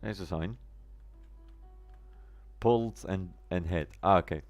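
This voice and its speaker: A man's voice: sits low, slow, soft background music. A man whose first language is German, live-streaming a game with casual commentary and chatting to viewers in wordy, oddly formal phrases.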